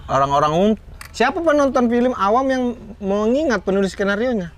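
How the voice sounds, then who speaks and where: A man in casual chat, telling stories in a relaxed way about writing comedy films, laughing often. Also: high-pitched, brisk. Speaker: comedy screenwriter.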